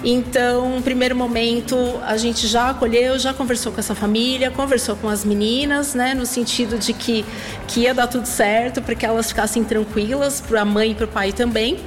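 A woman school vice-principal speaks in an interview soundbite, with restarts and filler words. Her voice is high. The room is reverberant and music plays clearly behind her. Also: fairly even intonation, normal speed.

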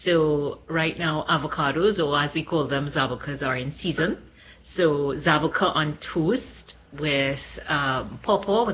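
A woman's voice: low-pitched, slight background noise. A woman from Trinidad with a Trinidadian accent, sending a cheerful recorded message. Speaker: listener contributor. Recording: dull lo-fi recording.

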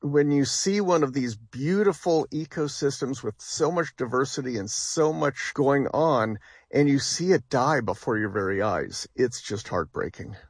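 A man's voice: medium pitch, lively intonation, measured pace, husky, no echo, studio quality. A man speaking as a scientist in an interview clip, earnest and heartfelt.

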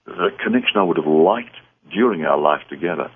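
Deep voiced aussie guy, husky, earnest radio guest talking over a thin phone-like line. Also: animated, fairly quick. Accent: Australian. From Australia. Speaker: radio talk show guest. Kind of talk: earnest interview conversation.

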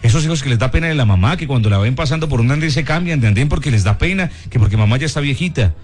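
A man giving a fervent spoken sermon on the radio, switching between solemn low tones and lively quoted voices. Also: racing, husky. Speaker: radio presenter giving a morning message. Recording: radio broadcast with music underneath. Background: background music.

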